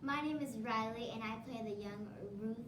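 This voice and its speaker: A young woman giving casual, informal interview answers, often saying "like" and "kind of". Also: normal speed. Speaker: youth-role cast member being interviewed. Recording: echoey, distant mic with rumbling noise.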